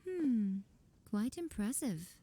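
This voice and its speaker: An actress playing an elegant, sly, amused shrine priestess in a video game, poised and teasing. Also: female voice, fairly high pitch, sing-song, slow pace, dark tone, husky, full character voice. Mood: amused, curious.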